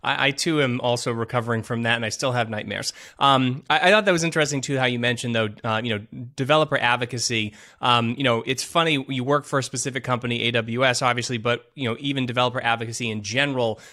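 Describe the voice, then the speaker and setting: A man's voice: mid-range voice, fairly even intonation, quick pace, hardly any pauses, sharp tone. A man hosting a tech podcast, enthusiastic and loose, with lots of ums and you-knows. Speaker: podcast host. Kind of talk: casual podcast interview.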